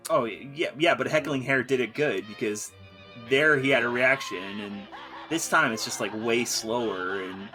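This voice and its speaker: A man's voice: mid-range voice, moderate pace, bright, forceful tone, faint music behind. A man joining a cartoon review as guest, making blunt, sarcastic remarks. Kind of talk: casual chat.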